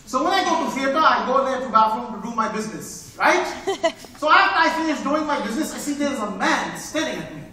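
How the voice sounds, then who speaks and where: A man's voice: very high-pitched, big pitch swings, fairly quick, sharp tone, exaggerated voice. A man on a live stage voicing an immigrant dishwasher father, telling the story in broken English with chuckles. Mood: storytelling. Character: immigrant male dishwasher, older father, wry and wide-eyed. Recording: echoey theater, heard from far off.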